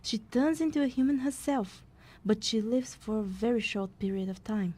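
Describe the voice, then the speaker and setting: A woman playing a brisk storyteller, narrating a mock fantasy tale in a scripted audio play. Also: fairly high pitch, dark tone, slightly rough.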